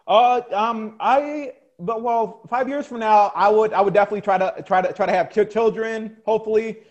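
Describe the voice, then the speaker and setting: A man's voice: high-pitched, moderate pace, lo-fi sound. A young man being interviewed about bodybuilding, who talks hesitantly and fills his answers with "like" and "um". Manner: hesitant, many fillers and restarts. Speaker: guest, competitive bodybuilder. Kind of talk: casual interview chat.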